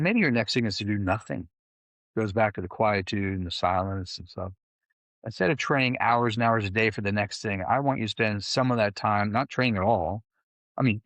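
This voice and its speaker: A man's voice: on the low side, a bit bright, no room sound. A man coaching clients, talking in a loose, rambling way with many fillers. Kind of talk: casual conversation.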